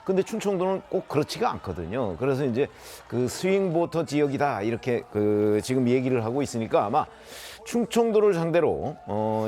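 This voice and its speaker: A man's voice: medium pitch, darkish tone, slight background noise. A man commenting on politics as a studio panelist, talking in a discursive way with many fillers.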